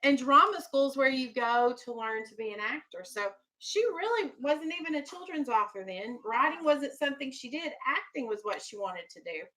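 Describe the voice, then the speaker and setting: A woman's voice: high voice, lively intonation, normal speed, few pauses, sharp tone, low-quality recording. A woman teacher reading aloud to young children, explaining simply, asking questions and firmly keeping them on task. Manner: instructive, simple explanations, checks understanding with questions, firm with children. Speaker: elementary school teacher.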